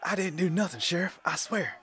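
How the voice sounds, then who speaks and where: An actor playing a whining, cowardly saloon lowlife in a Western audio play, defensive and pleading. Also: male voice, lively intonation, a little fast, a bit bright, breathy edge, heavily acted. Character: scared, whining lowlife barroom brute, defiant but cowardly.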